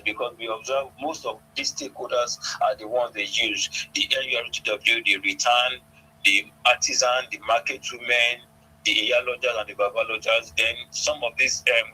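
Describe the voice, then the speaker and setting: Bright, forceful Nigerian man, hesitant delivery. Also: medium pitch, slight background noise, poor audio. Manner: hesitant, repeats and restarts phrases. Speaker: police public relations officer, radio guest. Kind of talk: radio interview answers, recounting events.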